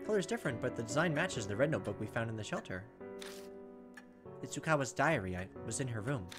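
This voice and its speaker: A man's voice: medium pitch, sing-song, fast. A man hosting a gaming video, reading a visual novel aloud with casual, profane asides. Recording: music behind the voice. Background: game music.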